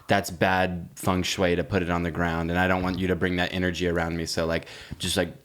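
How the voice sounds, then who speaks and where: A man with a low-pitched voice co-hosts a chatty podcast. His talk is casual and slangy, full of comic overstatement and loose storytelling. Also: little pitch movement, fairly quick, fairly bright tone. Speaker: podcast co-host. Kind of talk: casual chat.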